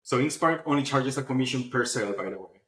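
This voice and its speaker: Male interview guest, mid-pitched, informal explainer in a roomy, echoing space. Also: lively intonation, fast talker, crowd noise. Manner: informal, explanatory, often adds "you know". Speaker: guest, publishing platform founder. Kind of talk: conversational interview answers.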